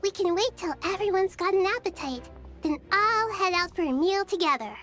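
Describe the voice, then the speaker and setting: A woman voicing a gentle, thoughtful, childlike girl in a video game, serene and wise, with measured phrasing. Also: extremely high pitch, expressive, faint music behind. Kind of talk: video game character acting.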